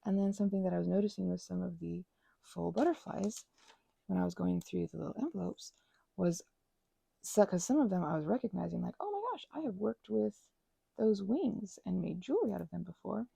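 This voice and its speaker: A woman murmurs through a meandering ASMR ramble at home, her voice deeply gravelly with fry and noticeably husky. Her tone sits very mellow and dark, and she slips into whispers between stretches of soft talk. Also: animated, a little fast, no echo. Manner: meandering, filler-heavy, drifting into whispers. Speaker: ASMR creator. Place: indoors at home.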